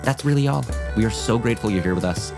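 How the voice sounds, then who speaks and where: A man's voice: on the low side, fast, vocal fry, music in the background. A man hosting a podcast in a conversational, effusive and appreciative way. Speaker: podcast host.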